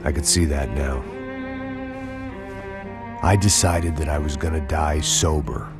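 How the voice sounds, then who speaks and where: Bitter washed-up middle aged american ex-cop, super low voice, noir game narration, loud music behind. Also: male voice, unhurried. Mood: weary, bitter. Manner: world-weary, self-deprecating. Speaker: protagonist and narrator.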